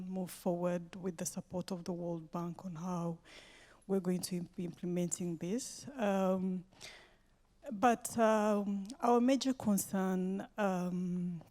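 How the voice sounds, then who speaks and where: A woman from Rwanda working as a government energy official, asking a question at a conference with slow, hesitant speech full of fillers. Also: lowish voice, a little mellow. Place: conference hall.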